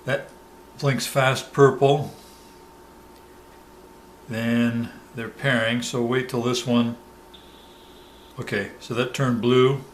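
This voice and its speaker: A man presenting a hands-on product tutorial step by step, pausing mid-sentence as he demonstrates. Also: medium pitch, level tone, poor audio. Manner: halting, pauses mid-sentence while demonstrating. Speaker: product reviewer giving a demonstration. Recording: clear background noise, room ambience.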